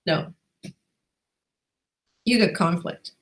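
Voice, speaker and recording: A woman's voice: fairly low pitch, extremely slow. A woman hosting a casual, conversational interview, engaged and affirming as she asks and responds. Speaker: interviewer, host.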